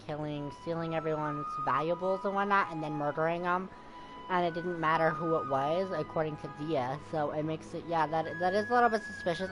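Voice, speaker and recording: A woman with a notably low voice comments casually on a game. She muses aloud in an informal, conversational way. Game music is clearly audible behind her. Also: rough recording. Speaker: gamer reacting to a story quest.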